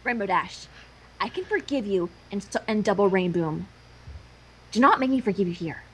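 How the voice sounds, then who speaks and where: A woman reading character lines aloud amid casual banter, lively and sometimes tripping over words. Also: medium pitch, moderate pace, husky, low-quality recording. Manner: animated, stumbles over words. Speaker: fan fiction co-reader.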